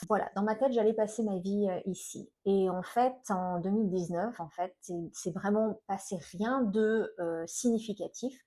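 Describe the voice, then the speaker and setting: Mellow middle-aged French woman, talking haltingly. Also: mid-range voice. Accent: French from France. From France. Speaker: self-employed energy healer and coach, video host. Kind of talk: personal vlog, informal talk to viewers.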